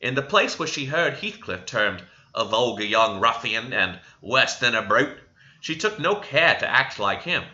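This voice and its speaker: Male amateur audiobook reader: very bright, forward tone, lively melodic swings, slightly stumbling delivery, lo-fi recording. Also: mid-range voice. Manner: expressive reading with occasional stumbles. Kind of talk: scripted narration of a classic novel.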